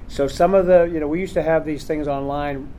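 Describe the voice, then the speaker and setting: A man's voice: on the high side, fairly even intonation, brisk, dark tone, slight background noise. A man hosting a convention panel, talking casually and rambling with lots of fillers. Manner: rambling, chatty, full of fillers. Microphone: recorder in the room.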